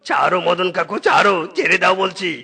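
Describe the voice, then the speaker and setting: A man's voice: high-pitched, animated, fairly quick, vocal fry, sharp tone, breathy edge, faint music behind, heavily acted. A man playing an indignant, stubborn village vegetable seller in a cartoon, protesting and haggling. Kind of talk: cartoon comedy dialogue.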